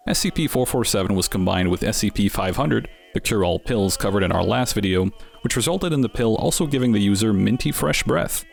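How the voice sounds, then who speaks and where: A man's voice: mid-range voice, vocal fry. A man giving scripted narration as the host of an explainer series, with wry, dryly humorous asides.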